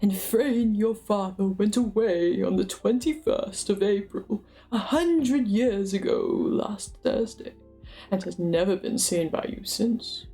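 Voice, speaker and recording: A woman's voice: medium pitch, slow, mellow, soft background music. A woman voicing a stern, grave old male wizard, emphatic and impatient, in a dramatic book reading.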